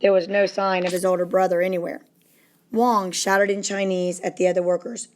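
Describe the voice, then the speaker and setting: A woman reading a story aloud as a narrator, in a deliberate, dramatic storytelling manner. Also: lowish voice, flat delivery, a little mellow. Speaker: storybook reader. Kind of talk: expressive read-aloud narration.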